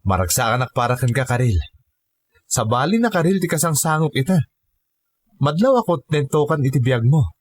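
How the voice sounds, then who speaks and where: Lalaki nga agak-akto a mannakaawat a gayyem nga Ilokano, kalalainganna ti timekna. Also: male voice, mid-range voice, animated, normal speed, pauses often, dry recording, close to an everyday voice. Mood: warm, encouraging. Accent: Ilocano. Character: supportive, encouraging male friend of a migrant worker. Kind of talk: Ilocano radio drama acting.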